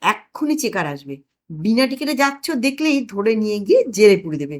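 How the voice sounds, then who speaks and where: A woman's voice: mid-range voice, sing-song, brisk, natural voice. A woman voicing a blustering, scheming older family man in a comic audio story, exclaiming and sure of himself. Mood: bossy.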